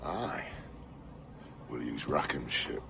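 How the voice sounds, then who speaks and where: A scornful pirate captain for a video game, with an extremely low voice full of gravel. He is bitter and quick to anger, growling and snarling with big swings in pitch. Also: expressive, heavily acted. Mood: gruff scheming. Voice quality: rough, growling. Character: bitter, hot-tempered, foul-mouthed pirate captain.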